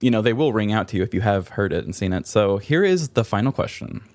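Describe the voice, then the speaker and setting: A man's voice: on the low side, animated, fast talker, a little mellow, slight background noise. A man in his thirties hosting a podcast quiz in casual, chatty conversation. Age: 30s. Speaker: podcast host running a quiz. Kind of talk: casual chat.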